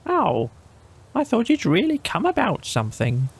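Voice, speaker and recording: Man putting on a high, self-important rabbit. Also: huge pitch swings, measured pace, a little hiss or hum, full character voice. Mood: unimpressed. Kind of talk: children's audiobook character voice.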